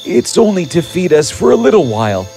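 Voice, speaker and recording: A man's voice: on the high side, sing-song, a little fast, vocal fry, mellow, soft background music, pitched above the performer's own voice. A man voicing a kind, timid, troubled schoolteacher in a storybook tale, hesitant and earnest. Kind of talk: animated storybook character acting.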